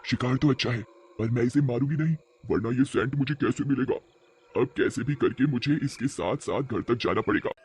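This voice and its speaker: A man's voice: medium pitch, fairly quick, slight background noise, voice pushed down, close to an everyday voice. A man voicing a greedy, scheming female witch in a horror story, musing aloud to herself.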